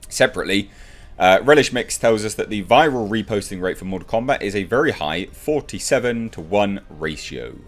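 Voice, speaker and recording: A man's voice: on the low side, animated, normal speed, soft background music. A man giving casual, enthusiastic movie news commentary as an online reviewer, leaning on emphatic repetition. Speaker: YouTube film news reviewer.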